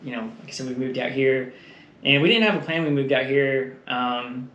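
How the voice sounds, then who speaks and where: A man's voice: medium pitch, normal speed, a bit bright, lo-fi sound. A man from Texas, a guest in a casual interview, telling stories in a rambling way with many fillers and frequent laughs. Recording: echoey room with faint noise.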